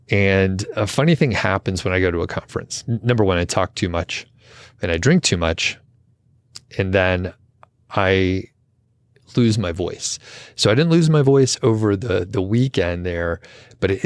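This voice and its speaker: A man hosting a podcast intro in a casual, rambling, self-deprecating way, his voice still a little gravelly from overuse. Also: low-pitched, no room sound, studio quality. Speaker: podcast co-host. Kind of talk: casual solo intro chat.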